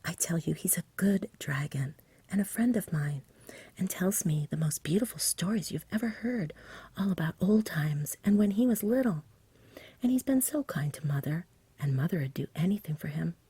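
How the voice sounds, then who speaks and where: Woman doing an earnest impatient village boy, super breathy whisper, soft and mellow, storybook asmr. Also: fairly low pitch, lively intonation, moderate pace, no room sound. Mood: distressed, pleading. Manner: earnest, pleading. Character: earnest, impatient young village boy defending his friend.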